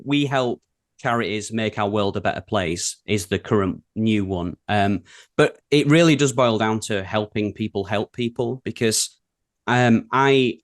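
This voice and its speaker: Man with a broad Yorkshire accent. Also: fairly low pitch. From England. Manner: easygoing, chatty, with frequent ums. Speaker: creative director and guest. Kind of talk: casual interview conversation.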